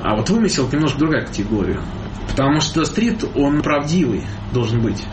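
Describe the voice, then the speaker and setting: A man's voice: medium pitch, sing-song, quick pace. Reflective man over background noise. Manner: reflective, speaks in images, stresses and repeats words. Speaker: street photographer, interview guest. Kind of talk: interview answers.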